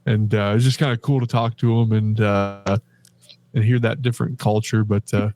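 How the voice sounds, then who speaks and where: A man's voice: on the low side, level tone, normal speed. A middle-aged man co-hosting a podcast, telling a story about his day in a rambling, chatty way with lots of fillers. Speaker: podcast co-host. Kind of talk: casual chat.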